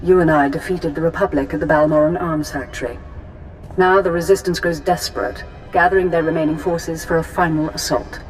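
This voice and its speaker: An actress playing a commanding, seductive Sith lord in a video game, speaking in a measured, imperious way. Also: female voice, low-pitched, steady tone, a little hiss or hum, close to an everyday voice, rough recording. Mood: cool command. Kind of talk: dramatic video game acting. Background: background music.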